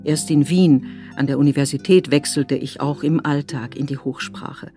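A woman from Tyrol, narrating a documentary feature in a measured, reflective way. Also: low voice, fairly even intonation, mellow, soft background music. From Tyrol, Austria.

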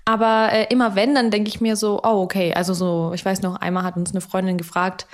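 A woman's voice: mid-range voice, flat delivery, fairly quick. Chatty young woman, laughs a lot. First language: Russian. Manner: chatty, laughs often, fillers. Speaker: podcast co-host. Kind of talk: casual chat.

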